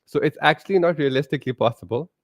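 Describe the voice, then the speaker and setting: A man's voice: on the high side, quick pace, dry recording, studio quality. A man from Pakistan, co-hosting a live stream with teasing, self-mocking humor. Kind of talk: casual live-stream chat.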